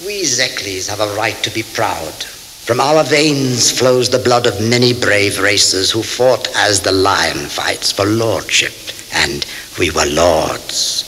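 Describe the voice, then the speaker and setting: A proud old vampire nobleman in an audio horror drama. His speech is deep and very deliberate, courtly and grandiose, with a sharp, menacing edge. Faint tape hiss sits under the voice. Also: exaggerated voice, low-quality recording. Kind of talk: radio drama acting.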